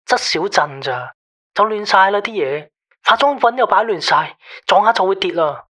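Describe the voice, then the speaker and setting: An actor playing a controlling, aggrieved husband who rambles repetitively as a late-night caller in a radio drama. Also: male voice, sits high, lively intonation, normal speed, bright, forceful tone, exaggerated voice. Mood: agitated, pleading. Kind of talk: Cantonese radio drama acting.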